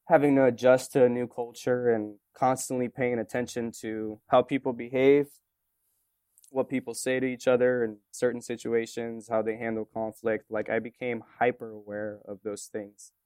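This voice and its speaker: Romanian-born man, native Romanian speaker, smooth tone, musing casual answers, loose and rambling. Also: mid-range voice, fairly even intonation, moderate pace, darkish tone, no echo. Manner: reflective, rambling with many fillers. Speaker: interviewee, photographer and musician.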